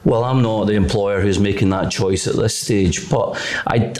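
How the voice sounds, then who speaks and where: A middle-aged Scottish man speaks as a radio guest from the education sector. His voice sits fairly low, and he picks his words carefully, hedging and steering back to policy. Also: steady tone, normal speed, a little mellow. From Greenock, Scotland. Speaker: further education college leader, guest. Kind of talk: radio interview answers.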